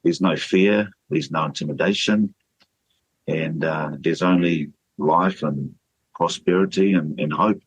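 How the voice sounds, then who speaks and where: Very deep husky New man. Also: no echo, poor audio. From New Zealand. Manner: earnest, measured, with many fillers and restarts. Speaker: church leader. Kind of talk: radio interview guest.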